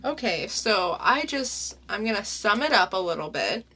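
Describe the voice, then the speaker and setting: A young woman co-hosting a casual comic book podcast, summing up a story in a chatty, unhurried way. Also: medium pitch, sing-song, pushed and bright, breathy edge. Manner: unhurried, chatty, summarizing plots. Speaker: podcast co-host. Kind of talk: casual comic review chat.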